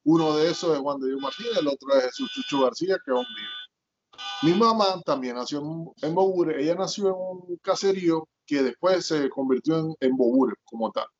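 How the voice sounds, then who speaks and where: A man's voice: fairly high pitch, lively intonation, normal speed, slight background noise. A middle-aged man from Zulia, Venezuela, with a Zulian accent, telling his life story as a guest in an interview and rambling with many fillers and restarts. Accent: Zulian Venezuelan Spanish. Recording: dull lo-fi audio.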